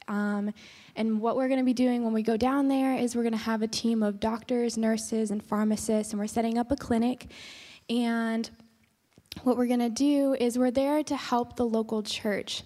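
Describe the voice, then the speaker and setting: Young woman in her 20s talking to church, mellow even voice, echoey hall. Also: a little high, normal speed. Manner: earnest, steady, with "um" fillers. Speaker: nursing student speaking to the congregation. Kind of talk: personal testimony. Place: church sanctuary. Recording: long church hall reverb.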